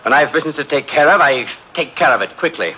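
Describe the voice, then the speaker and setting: A worried, impatient wealthy man who snaps his words short. His voice is husky yet bright. The pitch rises and falls with his impatience. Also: lively intonation, fast talker, full character voice, rough recording. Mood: brusque. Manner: curt and abrupt. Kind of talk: 1940s radio acting.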